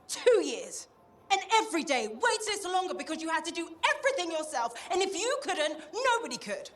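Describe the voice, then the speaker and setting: High-pitched, determined game heroine. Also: female voice, a little hiss or hum. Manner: pointed, insistent. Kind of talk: dramatic video game cutscene acting.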